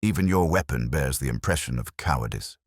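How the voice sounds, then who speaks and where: A brilliant, scornful detective, played by a male narrator speaks in solemn, clipped pronouncements for an audiobook mystery. His voice is very deep and slightly husky, theatrical and grave, recorded dry. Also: lively intonation, a little fast, no echo, full character voice.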